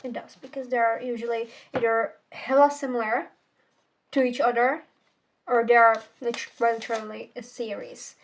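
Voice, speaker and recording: A woman's voice: a little high, flat delivery, normal speed, slight background noise, low-quality recording. A teenage girl whose first language is Czech, casually reviewing a book in a rambling, self-correcting way with casual swearing. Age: teens. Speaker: book vlogger.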